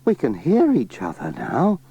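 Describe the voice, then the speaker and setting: Man as a lively, cheerful village postman. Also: mid-range voice, unhurried, gravelly, slightly rough, dry recording, pitched above the performer's own voice, full character voice. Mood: cheerful, patient. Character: friendly village postman, cheerful, patient, helpful. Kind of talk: children's storybook cassette reading. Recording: slightly dull cassette, faint music.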